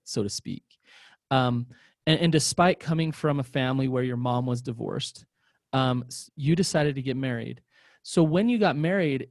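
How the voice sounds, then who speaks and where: A man co-hosting a podcast, leading the interview with probing questions. He often stumbles and restarts mid-sentence. His voice is mid-pitched and the recording is dry. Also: darkish tone, no room sound.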